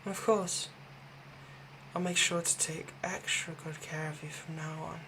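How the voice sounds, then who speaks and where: A man playing a possessive young fantasy villain in an ASMR roleplay, tenderly soothing a captive in a soft voice that often turns breathy. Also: fairly high pitch, flat delivery, a little slow, noisy background. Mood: gentle, reassuring. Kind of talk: ASMR roleplay, intimate scripted monologue.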